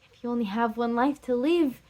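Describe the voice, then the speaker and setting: A woman's voice: a little high, normal speed, mellow, voice pushed up, lightly acted. A woman performing a stage drama role as a heartbroken, insistent jilted lover, pleading emphatically and repeating herself. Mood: anguished, accusing. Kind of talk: stage drama scene and monologue.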